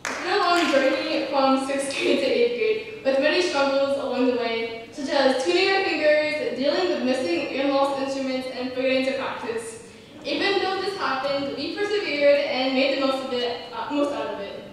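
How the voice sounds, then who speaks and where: A woman's voice: sits high, moderate pace, slight background noise. A teenage female student reading an earnest, prepared thank-you speech. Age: teen. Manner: earnest, recited phrasing. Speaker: student speaker. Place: auditorium stage. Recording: echoey hall from far off.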